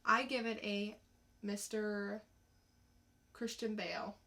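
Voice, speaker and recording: A woman with a bright, crisp-edged tone speaks at a slow pace. She co-hosts a podcast and delivers her recaps and opinions casually, in plain, informal words. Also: mid-range voice, little pitch movement. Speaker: podcast co-host reviewing comics. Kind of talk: casual plot recap and review.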